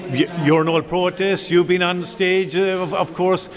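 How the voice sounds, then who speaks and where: A man's voice: high-pitched, little pitch movement, normal speed. An Irish man working as a radio interviewer, informal and stammering over the starts of his words. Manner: stammering, repeats word starts. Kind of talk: informal live event interview. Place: busy arts centre at a launch event. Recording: loud crowd chatter behind. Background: crowd chatter.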